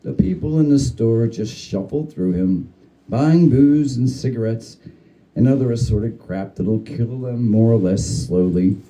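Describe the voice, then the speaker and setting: A man giving a dramatic reading of a humorous spooky story, with theatrical, deliberate phrasing. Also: mid-range voice, mellow, poor audio. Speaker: story reader.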